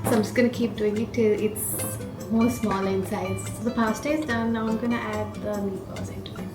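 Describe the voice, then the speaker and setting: A woman's voice: medium pitch, fairly even intonation, fairly quick, over music. A woman from Sri Lanka giving a casual, informal food vlog narration, explaining things step by step. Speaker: food vlogger.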